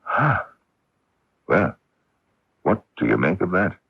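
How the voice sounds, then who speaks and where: Deep, gravelly, sardonic father. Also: very animated, slow pace, highs cut off, exaggerated voice. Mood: worried, sardonic. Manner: blunt, sardonic, uneasy. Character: worried, skeptical, sardonic older father and husband. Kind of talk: radio drama acting.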